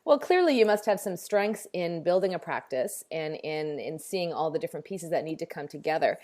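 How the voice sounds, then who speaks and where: A woman's voice: low voice, expressive, a little fast, a little mellow. A woman hosting a podcast, chatting enthusiastically with a guest over a call. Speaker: podcast host. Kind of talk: casual interview chat. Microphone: remote call setup.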